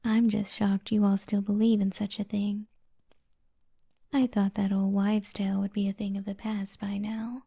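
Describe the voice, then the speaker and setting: A kind witch of the woods, acted by a woman, murmuring in an intimate ASMR roleplay. The voice is very dark and soft, with a gravelly fry under the breathy whisper. The delivery stays even, soothing and gently flirty, teasing the listener. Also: mid-range voice, normal speed. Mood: amused, teasing.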